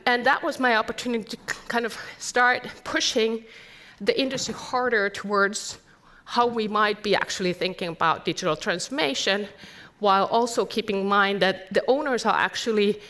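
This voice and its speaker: A woman's voice: fairly high pitch, sharp tone. A middle-aged woman from Finland with a Finnish accent, giving an earnest conference presentation full of rhetorical questions. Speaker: conference speaker.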